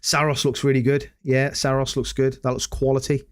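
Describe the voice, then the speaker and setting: Hoarse man, podcast host, rambling and hesitant, lots of ums, mid-pitched voice. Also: monotone, brisk. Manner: rambling, hesitant, many restarts and fillers. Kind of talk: casual solo livestream podcast.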